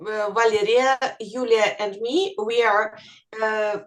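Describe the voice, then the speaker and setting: A woman with a Russian accent speaks casually in an online group. Her voice sits fairly high and moves slowly. She is hesitant and giggly as she searches for words. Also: steady tone. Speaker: English teacher. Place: online video call.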